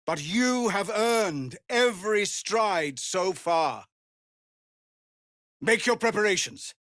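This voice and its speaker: A dignified middle-aged tiefling veteran leading refugees in a fantasy game. He speaks slowly and gravely, with formal, measured phrasing. The recording is close and dry. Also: mid-range voice, a bit bright, dry recording, heavily acted. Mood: rousing. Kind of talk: video game character dialogue.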